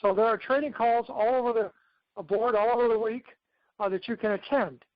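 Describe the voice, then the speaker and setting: Guy with a pretty high voice coaching his team on a call, instructive, dry muffled lo-fi sound. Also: steady tone, brisk, no room sound. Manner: instructive, repeatedly checking in with okay. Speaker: success coach and mentor leading a team conference call. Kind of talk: motivational training talk on a group conference call. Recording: dull lo-fi conference call audio.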